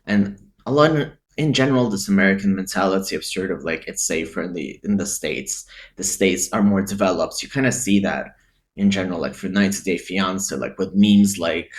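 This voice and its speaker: A man's voice: low-pitched, brisk. A man who grew up in Eastern Europe, giving casual, reflective opinion commentary and thinking aloud. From Eastern Europe, Lithuania and Ukraine.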